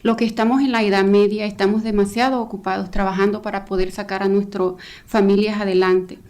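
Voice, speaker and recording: Quick-talking woman testifying. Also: medium pitch, level tone, a little mellow. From El Salvador. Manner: earnest, hesitant, many "eh" fillers and restarts. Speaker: volunteer coordinator giving public testimony. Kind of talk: public testimony. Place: county council chamber.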